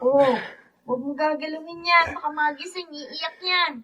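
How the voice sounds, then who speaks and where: Woman acting bright, high-voiced soldier's wife. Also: normal speed, no echo. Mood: resigned, loving. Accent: Filipino. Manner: emotional, plaintive yet tender. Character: long-suffering, loving soldier's wife and new mother. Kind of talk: emotional audio-visual drama acting.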